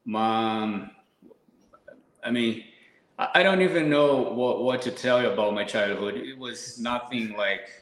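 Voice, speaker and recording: A man's voice: mid-range voice, unhurried, fairly bright tone. Brazilian man in an echoey room. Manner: modest, hesitant, repeats words. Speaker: podcast guest. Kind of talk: casual podcast chat.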